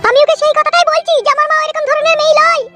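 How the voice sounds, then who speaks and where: A woman's voice: very high-pitched, flat delivery, very fast, over music. A woman voicing a bossy, hot-tempered young village wife in a cartoon, snapping and nagging sharply. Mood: impatient. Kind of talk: Bengali cartoon acting.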